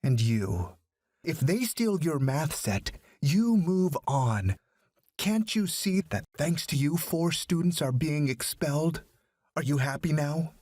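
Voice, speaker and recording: A man's voice: a little high, sing-song, takes it slowly, a little mellow, no room sound, voice pushed up, exaggerated voice. A man voicing an arrogant, blustering father who rants indignantly in a story. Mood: angry accusing. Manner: ranting, indignant.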